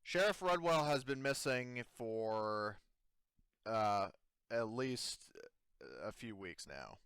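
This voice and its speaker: A man's voice: mid-range voice, lively intonation, a bit bright, clean tone, no room sound. A man playing a hesitant, evasive colony administrator in a tabletop sci-fi game, hedging as he goes. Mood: halting, uneasy. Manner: halting, hedging. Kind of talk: improvised tabletop roleplay dialogue.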